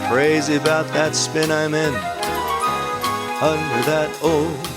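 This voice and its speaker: A woman's voice: low voice, little pitch movement, slow, over loud music. A woman singing a jazz standard in an old-time radio show style. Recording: loud musical accompaniment.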